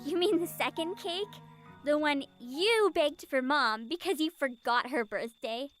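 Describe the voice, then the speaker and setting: A woman's voice: high voice, measured pace, a bit bright. A woman voicing an earnest, grieving young sister in a visual novel game. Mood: teasing, warm. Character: grieving young sister, guilt-ridden, earnest, teasing with her brother. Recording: music playing behind the voice.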